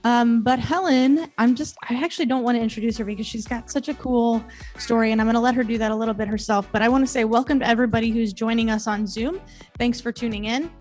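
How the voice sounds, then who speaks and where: A woman's voice: a little high, fast, a little mellow, soft background music. A woman hosting a masterclass, introducing a guest in a hesitant way with many ums. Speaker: host of masterclass.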